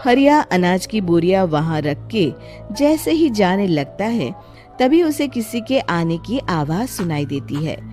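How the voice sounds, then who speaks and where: A woman's voice: medium pitch, big pitch swings, a little slow, darkish tone, soft background music. A woman narrating a scripted children's story as the storyteller.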